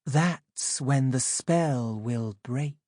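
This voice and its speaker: A woman voicing a kindly, magical fairy godmother in a storybook, friendly and reassuring in her deliberate delivery. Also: extremely low pitch, dark tone, dry recording, clean studio sound, full character voice. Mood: gentle warning.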